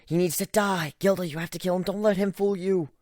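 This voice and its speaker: A man voicing a cold, obsessed male creature in a dramatic story, flat, deliberate and menacing. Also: high-pitched, no echo, studio quality, pitched above the performer's own voice, natural voice. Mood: frantic.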